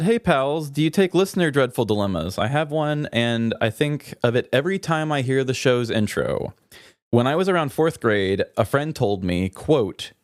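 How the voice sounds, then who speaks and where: A man's voice: mid-range voice, no echo, studio quality. A man co-hosting a podcast, telling stories and reading listener messages in an informal, chatty way. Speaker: podcast co-host.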